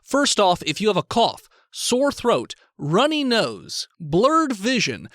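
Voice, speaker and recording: High, theatrical man as an excitable news announcer. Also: slow, no room sound, clean studio sound, heavily acted. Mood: excited, urgent. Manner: theatrical, exaggerated. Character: excitable, melodramatic male broadcast announcer of doomsday warnings. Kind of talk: parody emergency news broadcast.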